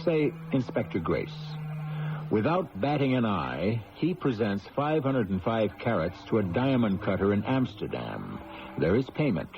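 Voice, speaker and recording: A man giving a wry closing narration and credits for a radio drama. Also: on the low side. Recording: noisy lo-fi old radio recording.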